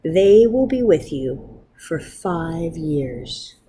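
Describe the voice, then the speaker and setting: A woman voicing a stern, wise male wonder rabbi in a storybook, speaking in a grave, admonishing, deliberate manner. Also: low-pitched, sing-song, background noise, exaggerated voice. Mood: solemn.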